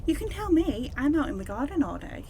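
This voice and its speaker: Woman as lively, chatty gardener. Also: high voice, fairly quick. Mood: curious. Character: chatty, nosy, down-to-earth new gardener. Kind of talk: amateur radio play acting. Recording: faintly noisy amateur recording.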